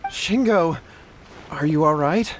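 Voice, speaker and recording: Worried, polite young brother. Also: male voice, a little high, animated, slow, slight background noise. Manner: polite, concerned. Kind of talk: video game voice acting.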